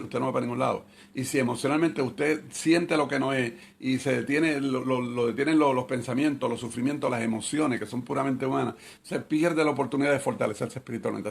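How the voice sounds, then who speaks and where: A man's voice: mid-range voice, gravelly, husky. A middle-aged man giving a conversational Bible study sermon, repeating his points and asking rhetorical questions. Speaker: Bible teacher.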